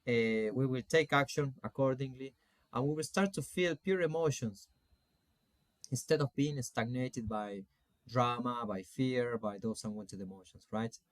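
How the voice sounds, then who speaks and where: A man in his forties from Argentina with an Argentine Spanish accent gives an informal online lecture as a coach, phrasing things in a halting, deliberate way. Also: mid-range voice, no echo. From Salta, Argentina. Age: 40s. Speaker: spiritual coach teaching an online course.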